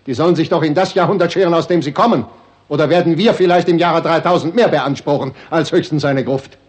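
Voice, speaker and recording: Actor as a husky, cynical doctor. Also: male voice, on the high side, fast, natural voice. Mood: scornful. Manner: sardonic, sweeping between smooth and scornful. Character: cynical, suave doctor and father, coldly self-serving. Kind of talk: 1960s German radio play acting.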